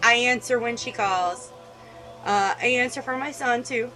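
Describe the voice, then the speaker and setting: High, bright-voiced woman gaming host, unhurried. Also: soft background music, poor audio. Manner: reacts emotionally to the story, chatty asides. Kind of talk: casual let's-play commentary, reading game text aloud.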